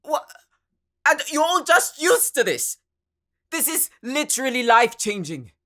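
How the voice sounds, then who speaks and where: A woman playing an excitable, high-strung tech expert in an audio drama, flustered, emphatic and dramatic. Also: unhurried, exaggerated voice. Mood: astonished. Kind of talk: anime-style audio roleplay acting.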